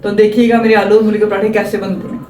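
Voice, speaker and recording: A woman's voice: medium pitch, little pitch movement, fast, a little mellow. A woman with a Pakistani accent, chatting with viewers and explaining each step as she cooks at home. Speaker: home cook, vlog regular. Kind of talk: casual cooking demonstration. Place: home kitchen. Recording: roomy, from a distance, faint background hum.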